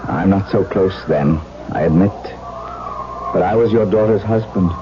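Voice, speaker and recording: A man's voice: sits low, normal speed, background noise, lightly acted. A man playing a brooding, haunted widower in a radio drama, speaking with intense, literary melancholy. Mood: earnest, anguished. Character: brooding, haunted widower, tormented by lost love. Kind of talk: dramatic first-person radio narration and dialogue. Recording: dull 1970s radio recording, music behind.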